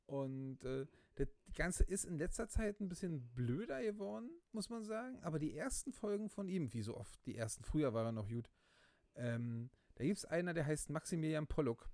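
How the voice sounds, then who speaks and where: A man's voice: on the high side, animated, normal speed, darkish tone, no room sound. A man co-hosting a casual podcast, rambling with lots of fillers and restarts and getting worked up as he talks. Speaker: podcast co-host. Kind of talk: casual chat.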